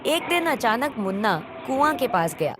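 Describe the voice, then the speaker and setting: A woman's voice: a little high, very animated, normal speed, crowd noise. A woman telling a story as narrator, reading scripted narration. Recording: faint background noise.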